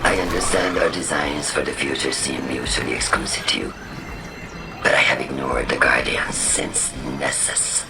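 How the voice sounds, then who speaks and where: A man voicing a cold, imperious science fiction villain in a video game, formal, aloof and deliberate. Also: extremely low pitch, fairly even intonation. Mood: cold, dismissive. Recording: music behind the voice. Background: music.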